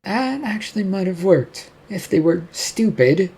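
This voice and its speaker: A narrator puts on a sceptical audiobook heroine, a woman with a raised, husky voice. She is incredulous and exasperated, questioning everything she is told. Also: medium pitch, animated, a little slow, warm tone, pitched above the performer's own voice, heavily acted. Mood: doubtful, exasperated. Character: exasperated, incredulous woman, Laura, sharp-tongued and doubtful.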